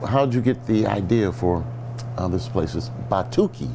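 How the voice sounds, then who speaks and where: A man's voice: expressive, moderate pace. A man hosting a local TV interview in a casual, affable way. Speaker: show host, interviewer. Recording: clear background noise, low hum.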